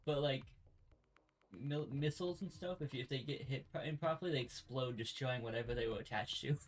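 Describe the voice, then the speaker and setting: A man's voice: medium pitch, brisk. A man co-hosting a let's play with casual, jokey game commentary, chuckling often.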